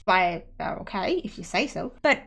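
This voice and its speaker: A woman's voice: medium pitch, expressive, normal speed, vocal fry, fairly bright tone, breathy edge. A young Norwegian woman chatting casually over her game footage, rambling and self-deprecating with frequent restarts. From Norway. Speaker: YouTuber narrating a speedbuild.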